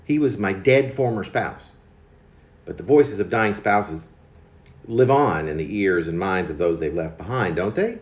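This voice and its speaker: Low-voiced man reading in an echoey room. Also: animated. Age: 50s. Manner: halting, pausing mid-phrase with stressed words. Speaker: poet. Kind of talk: reading own confessional autobiographical poem aloud. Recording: dull lo-fi recording in echoey room.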